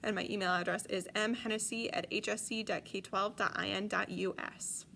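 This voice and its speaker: A young woman teacher talking warmly into a tablet, chatty and upbeat, often pausing with an um. Her voice sits at an ordinary middle pitch, with a faint hum behind her. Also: steady tone, gravelly. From Chicago area. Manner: enthusiastic, chatty, with frequent ums. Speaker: French teacher. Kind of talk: recorded video message to parents. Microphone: tablet device.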